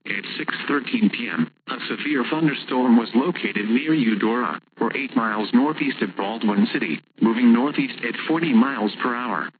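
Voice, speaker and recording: Synthetic male weather announcer over noisy radio. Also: medium pitch. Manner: measured, impersonal synthetic delivery. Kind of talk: formal scripted emergency weather bulletin. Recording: noisy, narrow-band weather radio broadcast.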